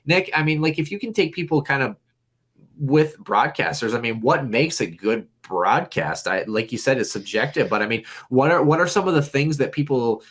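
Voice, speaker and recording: A man's voice: moderate pace, slightly rough. A man co-hosting a podcast, with a whiny, nasal voice, rambling in casual sports chat. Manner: rambling, animated, jumps in pitch. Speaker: podcast co-host.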